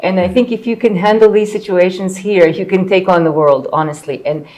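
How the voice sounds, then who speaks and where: Middle-aged woman, low register, former executive reflecting in a relaxed panel conversation. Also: fairly quick, poor audio. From born in Croatia, later lived in Canada. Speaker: former company executive, interviewee.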